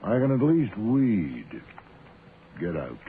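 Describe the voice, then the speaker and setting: An actor playing an imperious, exacting detective boss, speaking in formal, precise, commanding sentences in a radio drama. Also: male voice, on the low side, very animated, slow pace. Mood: curt, irritated. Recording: tinny, band-limited old radio, faint noise.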